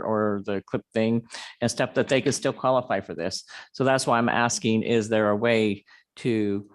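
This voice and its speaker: Male councilman with a mid-range voice, earnest and plain-spoken at a meeting. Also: mid-range voice. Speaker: city councilman. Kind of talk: public meeting discussion.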